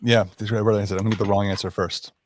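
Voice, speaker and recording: Low-voiced joking male guest. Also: little pitch movement, rapid-fire. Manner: joking, easygoing. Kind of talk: casual chat.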